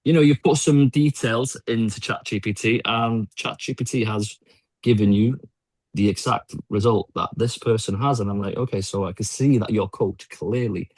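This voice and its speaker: Husky man, low and dry. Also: normal speed, no room sound. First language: Welsh. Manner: relaxed, hesitant, swears casually. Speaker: podcast guest. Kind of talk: casual podcast chat.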